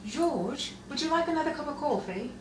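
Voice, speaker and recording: An actress playing an attentive, teasing sister in a nostalgic radio drama, with a brisk, fussing, conversational manner. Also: female voice, high voice, animated. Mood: fussing, friendly. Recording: roomy, distant, noisy live stage recording.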